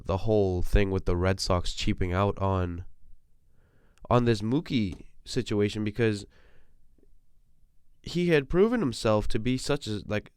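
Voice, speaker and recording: A man's voice: fairly low pitch, clean tone, no room sound, clean studio sound. A young man hosting a solo sports podcast, talking casually and ranting, swearing freely, sounding like he is getting over a cold. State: recovering from a cold. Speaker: podcast host. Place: small compact room.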